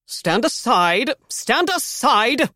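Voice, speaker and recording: A woman's voice: a little high, big pitch swings, slow, pushed and bright, dry recording, clean studio sound, voice pushed up, heavily acted. A woman voicing a haughty male palace butler who speaks in a pompous, clipped and commanding way.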